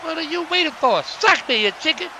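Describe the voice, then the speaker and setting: A man's voice: extremely high pitch, lively intonation, brisk, sharp tone, a little hiss or hum, exaggerated voice, poor audio. A man playing a brash, boastful bear pimp wrestler who taunts in slangy trash talk. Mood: taunting.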